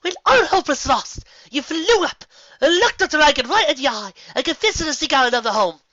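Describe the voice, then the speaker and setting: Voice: high voice, sing-song, fairly quick, sharp tone, dry recording, muffled recording, voice pushed up, exaggerated voice. A performer voicing a refined, fussy female unicorn with an affected, theatrical, genteel delivery. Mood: grandly dramatic.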